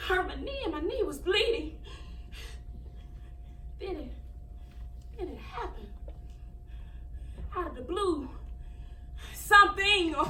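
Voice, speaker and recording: Woman acting very high-voiced frightened country girl, echoey. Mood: breathless, anxious, then terrified, distraught. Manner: halting, repeating words, highly emotional storytelling. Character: frightened young country girl recounting an attack, haunted, defiant. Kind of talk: dramatic stage monologue. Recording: echoey room, distant mic, faint noise.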